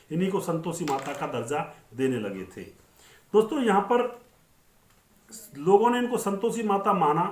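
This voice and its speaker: A man narrating film-star life stories in an informal, conversational way, addressing viewers directly and restating points for emphasis. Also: on the high side. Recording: roomy, echoing voice-over.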